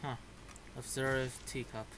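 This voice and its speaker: A man's voice: medium pitch, flat delivery, slow pace, noisy background. A man giving casual game commentary, reacting informally and muttering to himself as he plays. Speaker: gamer playing and reacting. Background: game music.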